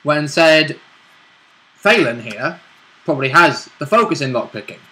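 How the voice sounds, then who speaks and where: A man's voice: on the high side, lively intonation, a little slow, pushed and bright, poor audio. A man talking casually and informally as a player in a tabletop role-play game, chipping in with agreement and asides. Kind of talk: casual chat about a game session.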